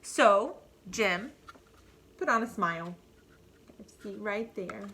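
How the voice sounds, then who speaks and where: Very bright, expressive woman reading to children. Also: mid-range voice, a little hiss or hum. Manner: deliberate emphasis, asides to young listeners. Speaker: teacher reading aloud to children. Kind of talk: expressive picture-book read-aloud.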